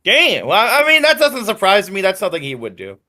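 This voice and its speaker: A man's voice: high voice, wildly swooping pitch, a little fast, sharp tone. A man chatting casually on a podcast call in a brash, emphatic, sweary way. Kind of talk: casual chat.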